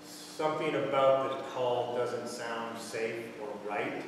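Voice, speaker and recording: A fire department officer answering questions at a public meeting, explaining plainly, recorded from a distance in a large fire station bay. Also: male voice, medium pitch, steady tone, slow pace. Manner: plain explanatory, talks through details. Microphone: far camera. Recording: echoey hall, distant mic, background noise.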